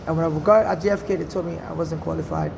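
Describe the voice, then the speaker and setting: A man's voice: on the high side, steady tone, fast talker, vocal fry, noisy background. A Nigerian man from Nigeria with a Nigerian accent, telling a personal story in an earnest, rambling way, often restarting and saying "you know". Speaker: storyteller recounting personal experience. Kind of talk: casual first-person monologue.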